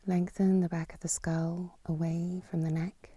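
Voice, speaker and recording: A woman yoga nidra teacher giving guided meditation in a soft, breathy, half-whispered voice, calm and soothing, with long pauses between instructions. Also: fairly low pitch, no echo.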